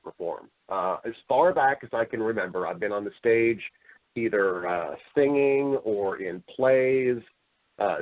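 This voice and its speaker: A man's voice: fairly low pitch, narrow, tinny audio. A man speaking as an interview guest, explaining his views in an earnest, emphatic, conversational way. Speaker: voice-over artist and storyteller.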